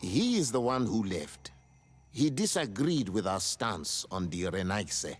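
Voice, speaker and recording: A grave village chief in a game, raspy husky voice, pitch moves around a lot. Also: male voice, very animated, measured pace, heavily acted. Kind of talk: video game cutscene acting.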